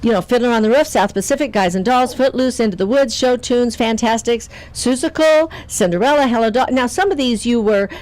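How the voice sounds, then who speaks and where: A woman's voice: a little high, fairly quick, hardly any pauses, a little mellow, rough recording. Giggly chatty woman radio host. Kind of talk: casual radio chat.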